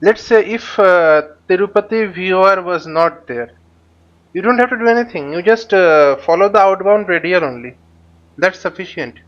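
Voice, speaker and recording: A man giving an informal flight simulator tutorial, explaining haltingly as he thinks aloud. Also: high-pitched, dull audio. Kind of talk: informal tutorial commentary while flying a simulator.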